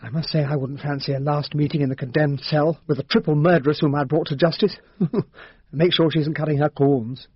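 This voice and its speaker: An earnest gentleman talks in a fairly high, slightly rough voice. He is the well-mannered, loyal companion of a radio mystery. The sound is dry and dull. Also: fairly even intonation, brisk, dry recording. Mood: concerned, wry. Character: decent, easily astonished English gentleman, detective's loyal companion. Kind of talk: radio drama acting. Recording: dull, muffled radio drama recording.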